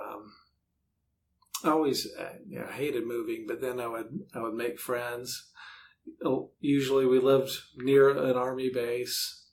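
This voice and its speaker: A man's voice: mid-range voice, gravelly, a little hiss or hum. A middle-aged American man chatting casually and easily as an author being interviewed. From United States.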